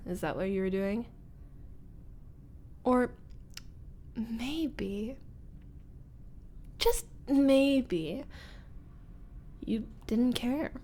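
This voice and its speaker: Female actor as young ex-bully roommate, needling yet nervous; intimate ASMR drama, breathy, drawn-out pacing, slight background noise. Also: fairly high pitch, darkish tone. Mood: exasperated, needling. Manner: halting, breathy, drops into whispers, nervous chuckles and sighs. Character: teasing young woman, former school bully turned nervous roommate. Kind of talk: intimate ASMR-style roleplay monologue.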